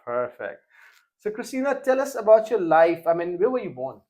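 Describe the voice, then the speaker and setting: A man's voice: high voice, sing-song, moderate pace, darkish tone. A young man hosting a casual interview podcast, talking with frequent fillers and restarts. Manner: hesitant, many fillers and restarts. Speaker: podcast host.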